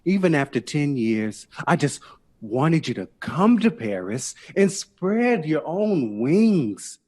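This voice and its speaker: A man's voice: on the high side, big pitch swings, takes it slowly, darkish tone, full character voice. An actor playing a proud, wounded male lover and performing artist in a period audio drama. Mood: hurt pleading.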